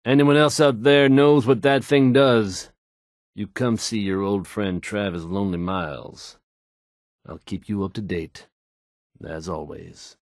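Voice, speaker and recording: A slick, self-assured radio DJ in a game world, his voice on the low side and recorded dry. He delivers the news with folksy, suave showmanship. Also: measured pace, no room sound. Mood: confident, slick. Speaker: radio DJ character. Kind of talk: smooth radio news patter.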